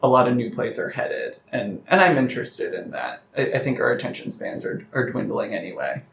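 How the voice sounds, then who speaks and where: A man's voice: mid-range voice, very animated, brisk, creaky, a bit bright. A man with a mid-range voice talks reflectively, as a writer would in an interview, weighing his phrases as he goes. The recording is echoey and a little dull, with faint noise underneath. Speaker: playwright being interviewed. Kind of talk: thoughtful interview conversation. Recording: roomy, slightly muffled, noticeable background noise.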